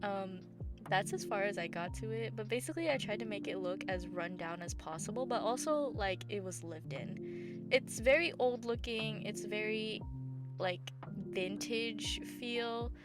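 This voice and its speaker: A woman with a scratchy, gravelly voice, hoarse after bronchitis, chats through a gameplay voice-over at a middle pitch. She rambles loosely with lots of fillers and restarts. Clear music plays behind her. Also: unhurried. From Southern United States. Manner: rambling, filler-heavy, self-correcting. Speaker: game builder and channel host. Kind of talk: casual voice-over commentary over gameplay. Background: background music.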